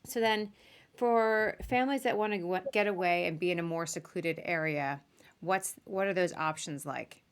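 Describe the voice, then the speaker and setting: Lower-pitched woman hosting casually. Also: moderate pace, clean tone. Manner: informal, chatty, question-led. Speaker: podcast host. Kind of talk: casual live interview.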